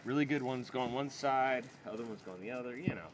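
A man's voice: mid-range voice, fast, crowd noise. A man hosting a casual family coin hunt outdoors, keeping score and explaining finds in an encouraging way. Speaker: coin hobby host. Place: outdoors at a campsite table.

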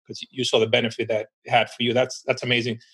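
A man's voice: medium pitch, monotone, fast talker, a bit bright, slightly rough. A man from the Dominican Republic hosting a podcast interview, upbeat and encouraging toward his guest. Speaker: podcast host.

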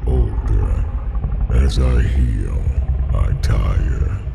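A man voicing an ancient, wounded dark creature, weary, bitter and proud, speaking with halting, grave menace. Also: extremely low pitch, creaky, heavy background noise, heavily acted.

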